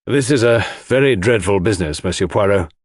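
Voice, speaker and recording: A man voicing a weary, anxious upper-class country gentleman in an audiobook, polite and hesitant. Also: fairly low pitch, lively intonation, a little fast, husky, no room sound, clean studio sound. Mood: weary, worried. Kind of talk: audiobook character acting.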